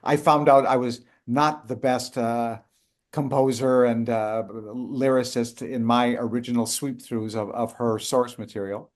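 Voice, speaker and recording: An older man answering interview questions about co-writing a musical, speaking haltingly with many fillers and restarts. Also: mid-range voice, level tone. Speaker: guest, co-writer of a musical.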